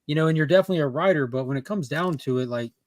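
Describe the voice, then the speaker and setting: A man's voice: a little high, very fast, no echo. Opinionated man, dry close sound. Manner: opinionated, talks in long explanations. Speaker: panelist. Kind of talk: casual panel chat.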